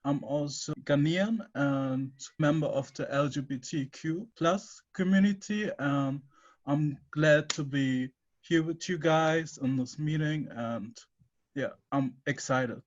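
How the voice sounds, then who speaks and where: A man in his twenties from Germany, speaking slowly and cheerfully as a discussion guest. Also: on the high side, highs cut off. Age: 20s. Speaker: panel guest.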